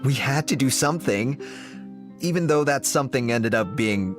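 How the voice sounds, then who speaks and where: An actor playing a loyal, hesitant kitchen worker in a video game, halting and sheepish. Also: male voice, mid-range voice, lively intonation, music in the background, natural voice.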